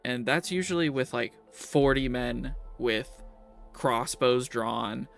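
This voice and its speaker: A man acts out a gruff male prison warden in a tabletop fantasy game, with a creaky, medium-pitched voice. He is impatient and mocking, and faint music plays behind him. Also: fairly even intonation, slow pace, fairly bright tone. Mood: firm, dismissive.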